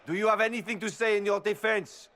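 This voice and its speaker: A man's voice: high-pitched, fairly even intonation, fast, sharp tone, slight background noise. A man playing a stern official in a video game, projecting a formal proclamation. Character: stern male official reading out a death sentence.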